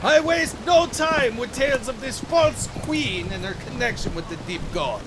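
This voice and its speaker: A man's voice: very high voice, lively intonation, moderate pace. A man playing a stern, haughty elven admiral in a fantasy audio drama, barking orders with scorn. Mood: scornful, commanding. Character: stern, haughty male elven admiral, youthful but war-hardened. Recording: storm and rain noise behind. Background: rain and storm at sea.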